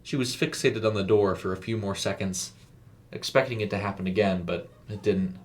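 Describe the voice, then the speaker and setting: A man narrates a scripted story. His voice sits on the low side, and he tells it in a measured, descriptive manner. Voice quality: low-pitched.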